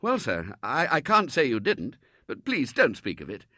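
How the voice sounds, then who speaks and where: A man's voice: medium pitch, wildly swooping pitch, normal speed, pushed and bright, dry recording, clean studio sound, voice pushed up, heavily acted. A man voicing a flustered, polite young man who stammers earnestly in a storybook reading.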